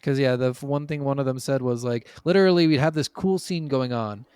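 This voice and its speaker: A man co-hosting a casual gaming podcast, telling stories from his notes in an animated, chuckling way. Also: medium pitch, fairly quick, smooth, no room sound, studio quality. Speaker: podcast co-host.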